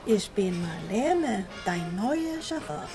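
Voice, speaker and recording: An actress playing a sultry, obliging German chauffeur with a German accent and a flirtatious, drawn-out delivery. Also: female voice, mid-range voice, sing-song, music in the background, natural voice. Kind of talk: comic radio drama acting.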